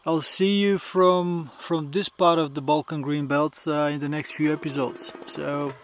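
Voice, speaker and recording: A young man, a native Bulgarian speaker, vlogging on a mountain trail, talking to a handheld camera with many pauses and fillers. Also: on the high side, steady tone, a little hiss or hum. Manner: slow, hesitant, many fillers. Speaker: hiking vlogger. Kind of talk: casual trail vlog.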